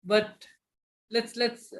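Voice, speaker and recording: Man, interviewer, high voice, polite, hesitant, many restarts. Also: little pitch movement, extremely slow, fairly bright tone. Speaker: interviewer host. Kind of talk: live-streamed interview.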